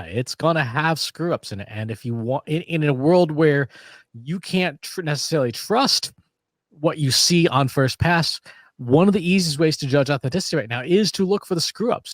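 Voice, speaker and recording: A man's voice: fairly high pitch, normal speed, no room sound, studio quality. A man co-hosting a podcast in casual conversation, explaining ideas with analogies and frequent restarts. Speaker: podcast co-host.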